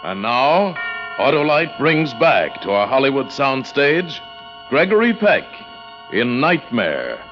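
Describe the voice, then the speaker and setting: A man announcing a vintage radio thriller in a deliberate, suspenseful scripted style. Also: medium pitch, sing-song. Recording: muffled vintage radio recording. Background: dramatic music.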